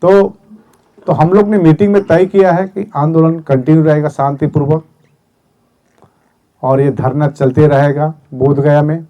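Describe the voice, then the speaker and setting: Earnest man a mellow voice. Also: fairly high pitch. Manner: earnest, measured, listing decisions one by one. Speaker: movement spokesman at a press conference. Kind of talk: press statement.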